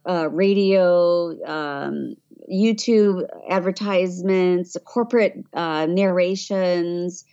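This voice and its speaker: Middle-aged lady chatting in an interview, lowish voice, kinda muffled lo-fi sound. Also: slow pace, mellow, clean tone. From Tennessee, USA. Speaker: interview guest, voiceover artist. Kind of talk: conversational interview storytelling.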